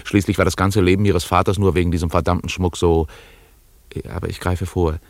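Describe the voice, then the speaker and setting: A man's voice: deep voice, flat delivery, a little fast, no echo. An actor playing an urgent, impatient New York private detective in a German radio play, who also narrates his own story. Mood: measured, confiding.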